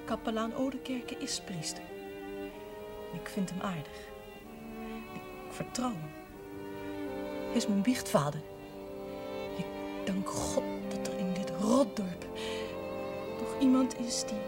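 An actress playing a defiant, principled grown daughter in a television drama, reproachful, indignant and outspoken. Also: female voice, medium pitch, animated, takes it slowly, pauses often, over loud music. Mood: hurt, earnest. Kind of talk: naturalistic television drama acting.

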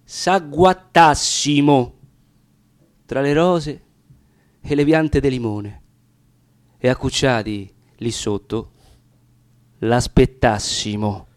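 A man's voice: mid-range voice, steady tone, takes it slowly, pauses often, no echo. A man with a Roman accent reciting dialect verse for radio in a theatrical, impassioned storytelling manner. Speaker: reader of dramatic verse.

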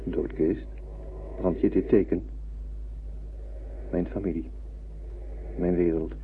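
Een acteur die in een hoorspel een zwaarmoedig, sterk buitenaards wezen speelt, kortaf en berustend in de dood. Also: male voice, deep voice, little pitch movement, slow pace. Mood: grimly resolved. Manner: brooding, terse, weighty. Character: melancholy male alien, tall and strong, resigned to death. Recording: muffled old radio-play recording, faint hiss.

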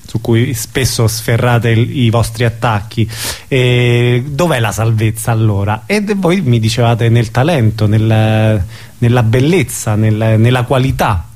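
A man with a mid-range voice acts as interviewer. He speaks conversationally and builds his questions slowly through hesitations. He often circles back to rephrase as he searches for words. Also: mid-range voice, a little mellow. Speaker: interviewer, host.

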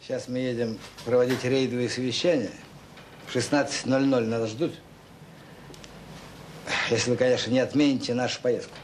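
An actor playing a busy middle-aged enterprise boss, jocular and brisk as he bargains, in a naturalistic radio drama. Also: medium pitch, fairly even intonation, a little hiss or hum, natural voice, poor audio. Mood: businesslike.

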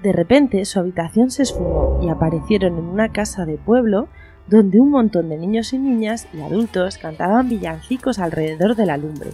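A woman's voice: medium pitch, expressive, normal speed, mellow, soft background music. A woman reading scripted narration for a radio play in the manner of a story.

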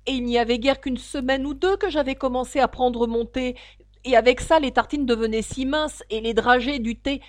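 A woman voicing a poor, timid, frightened male hatter who pleads nervously in a halting, trembling voice. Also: sits high, expressive, dry recording, studio quality, pitched above the performer's own voice. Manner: halting, stammering.

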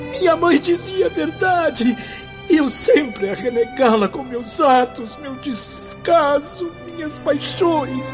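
An actor playing a tormented, repentant former bohemian in a religious radio drama, speaking in a solemn, theatrical, confessional way. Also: male voice, extremely high pitch, heavily acted. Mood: anguished remorse.

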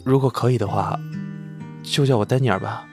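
A man's voice: mid-range voice, brisk, close to an everyday voice. A man playing a bashful, flustered young male star who adores his idol, stammering and hesitant in an audio drama. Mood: shy, adoring. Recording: clear guitar music behind.

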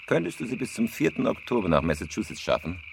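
An actor playing a cool-headed, laconic career robber in a German crime radio drama. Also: male voice, lowish voice, big pitch swings, fast, gravelly, noisy background. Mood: businesslike, terse. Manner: laconic, matter-of-fact. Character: terse, cool-headed male career robber planning a heist. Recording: music behind the voice. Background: music.

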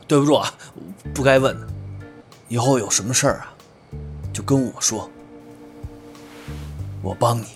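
A man's voice: mid-range voice, measured pace, long pauses, over music, natural voice. A man playing a friendly, considerate young member of a pop group in an audio drama. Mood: gentle, caring.

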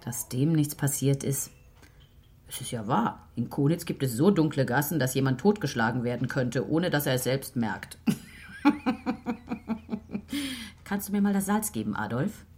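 An actress playing a curious, fretful middle-aged butcher's wife in a radio drama. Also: female voice, a bass voice, animated, normal speed, long pauses, darkish tone. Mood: darkly musing. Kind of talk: German radio drama acting.

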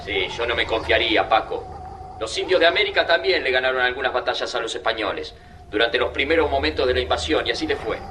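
A thoughtful Argentine male fighter played by an actor in a radio play. His medium-pitched voice swings expressively as he reasons warily, with clear ambient noise behind him. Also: lively intonation, fast, close to an everyday voice. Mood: cautious, reasoning. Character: thoughtful, wary male resistance fighter, analytical and foreboding. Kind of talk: radio drama acting. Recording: clearly noisy sound-effect ambience behind.

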